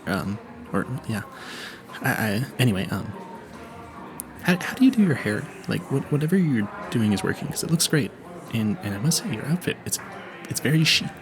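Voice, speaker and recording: A man's voice: medium pitch, very animated, normal speed, long pauses, over music. A man playing an awkward, smitten young vampire hunter in a romantic audio roleplay, stammering nervously and apologizing politely. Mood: shyly smitten.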